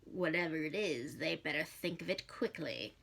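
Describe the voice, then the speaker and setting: A woman voicing a grim, malicious male demon who speaks formally, with deliberate, menacing pauses. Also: deep voice, animated, gravelly, a bit bright, exaggerated voice.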